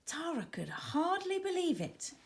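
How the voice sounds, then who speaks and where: A woman's voice: extremely high pitch, very animated, measured pace. A woman narrating a children's story expressively, with dramatic emphasis as she reads. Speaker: author reading own children's book.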